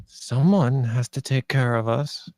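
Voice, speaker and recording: A tough, tired teenage girl acted by a woman at a table read, mellow in tone and unhurried. She is terse and guarded, the one in charge of the younger kids. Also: rumbling low, no echo. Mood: tense, reproachful.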